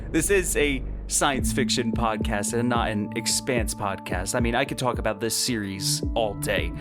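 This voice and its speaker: Male podcast host, medium pitch, scripted yet conversational delivery, enthusiastic. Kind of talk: scripted solo podcast commentary on science fiction books.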